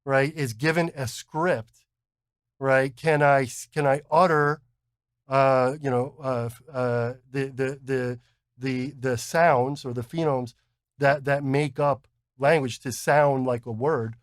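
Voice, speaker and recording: A man's voice: medium pitch, fairly even intonation, takes it slowly, no echo, clean studio sound. A man explaining technology in a casual chat, stammering, repeating words and checking in with "right?". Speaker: podcast co-host explaining technology.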